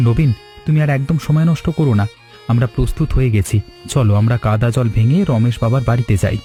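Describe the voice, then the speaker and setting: A man voicing a calm, responsible young village husband in a cartoon story, steady and formal. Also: mid-range voice, quick pace, faint music behind, lightly acted. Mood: decisive. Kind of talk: Bengali cartoon story dialogue.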